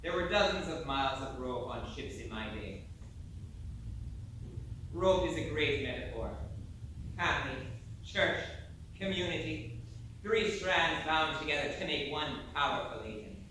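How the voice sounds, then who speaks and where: A man's voice: a little high, lively intonation, sharp tone. An actor playing a hearty former navy sailor, telling his tale to an audience in a folksy, theatrical monologue. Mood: hearty, reminiscing. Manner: theatrical, folksy, dramatic pauses. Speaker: stage actor. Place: theatre stage. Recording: echoey stage from far off, faint noise.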